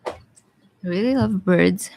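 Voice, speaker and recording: A young woman with a Bisaya Filipino accent who teaches watercolor live, talking casually and thinking aloud as she paints, with some quiet asides. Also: fairly low pitch, slow, gravelly, slight background noise, rough recording. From Philippines. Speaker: watercolor artist streaming live. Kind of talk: live painting tutorial with chat replies.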